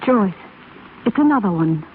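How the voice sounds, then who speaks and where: An actress playing a gracious, emotional widow in a 1930s radio drama. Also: female voice, medium pitch, expressive, normal speed, close to an everyday voice. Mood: polite, gracious. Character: grieving, genteel widow, emotional but gracious. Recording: scratchy, tinny 1930s radio broadcast recording.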